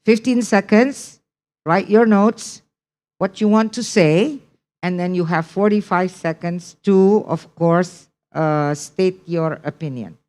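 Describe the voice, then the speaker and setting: Teacher, Indonesian-accented woman, unhurried lecture, low voice, keeps asking "okay?". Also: darkish tone. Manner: repeats key points, checks in with "okay?". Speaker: English test prep teacher. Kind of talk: online class lecture.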